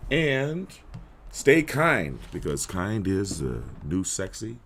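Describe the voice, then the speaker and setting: Hoarse deep-voiced man chatting on a live stream. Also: slow pace, a little hiss or hum. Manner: relaxed, rambling, throat-clearing. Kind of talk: casual live stream chat.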